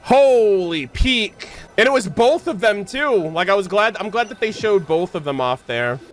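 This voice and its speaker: A woman streamer giving excited gameplay reactions in a gushing, slangy, exclaiming style. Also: mid-range voice, moderate pace. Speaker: game streamer.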